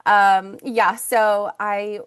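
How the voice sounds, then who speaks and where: A woman's voice: medium pitch, little pitch movement, extremely slow, fairly bright tone, clean tone. Chatty woman, Russian first language. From Ukraine. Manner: chatty, many fillers and restarts. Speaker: guest, naturopathic doctor and acupuncturist. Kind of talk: casual interview storytelling.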